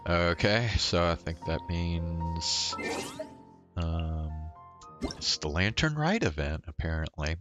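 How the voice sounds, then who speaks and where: A man with a very low voice streams a game casually. He talks slowly in a low-key, musing way, with faint music behind him. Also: animated. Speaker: game livestreamer. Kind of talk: casual livestream commentary while playing.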